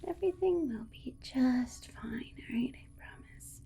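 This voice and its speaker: A woman playing a polite, condescending alien scientist in an ASMR roleplay, whispering in a soothing, coaxing manner with breathy delivery. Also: high voice, animated, measured pace, dark tone, exaggerated voice. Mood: soothing, matter-of-fact. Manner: soothing, coaxing, hesitant asides. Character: alien scientist, polite, reassuring, breezily condescending toward humans.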